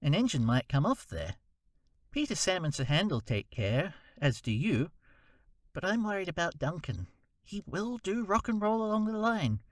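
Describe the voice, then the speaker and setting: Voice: mid-range voice, very animated, moderate pace, natural voice. A performer voicing an anxious, hesitant little diesel engine, recorded distantly. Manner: hesitant and worried. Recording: distant, quiet mic.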